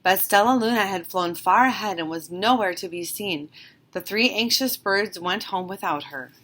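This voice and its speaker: Female storybook reader, mid-range pitch, expressive read-aloud narration for children. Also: normal speed, slight background noise.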